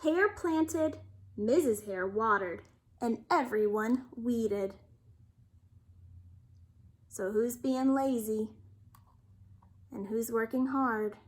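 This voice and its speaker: A woman storyteller reading a bedtime story aloud to children with expressive narration. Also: fairly high pitch, extremely slow, pauses often.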